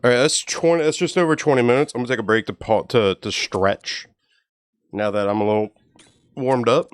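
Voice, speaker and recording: Laid-back low-voiced male streamer. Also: a little fast, no echo, studio quality. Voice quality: low, even voice. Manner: laid-back, profane, joking with chat. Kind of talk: casual live-stream chat.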